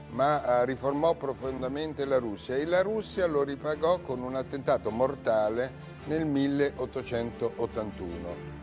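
A man hosting a history show in a TV studio, speaking in a measured, formal way. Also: medium pitch. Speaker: TV show host. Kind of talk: hosting, scripted closing narration. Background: music.

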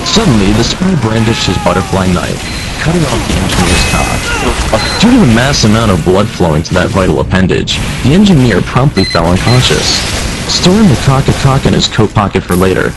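A man reads a story aloud in a medium-pitched voice that moves around a lot. Music plays clearly behind his narration. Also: sing-song, normal speed, low-quality recording. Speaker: reader of fan fiction. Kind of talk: reading a comic story aloud to online gamers.

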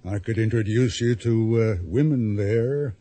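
Radio play hijacker, menacing, coaxing, slow delivery, husky tone, insinuating. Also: male voice, on the low side, mellow. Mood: sly coaxing. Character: menacing, coaxing male hijacker offering bribes. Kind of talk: radio drama acting.

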